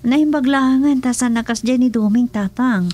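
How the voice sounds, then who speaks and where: A woman's voice: a little high, normal speed, music in the background, close to an everyday voice. A woman playing a blunt, frank adviser who chides in a comedic radio drama. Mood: chiding.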